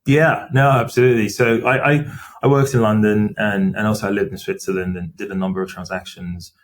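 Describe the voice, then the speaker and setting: A middle-aged man, a company finance chief, answering interview questions in a measured, explanatory way with frequent fillers. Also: on the low side, fairly even intonation. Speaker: company CFO as podcast guest. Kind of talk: interview conversation.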